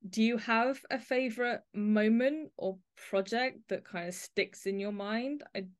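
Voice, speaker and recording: A woman's voice: fairly high pitch, measured pace. A woman hosting a podcast as a casual, chatty interview, speaking effusively to the guest. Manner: effusive, full of praise for the guest.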